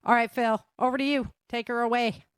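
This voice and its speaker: A woman hosting a casual conversation, informal and encouraging, quick to laugh. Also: a little high, fairly even intonation, clear, resonant tone, no echo, studio quality. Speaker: host.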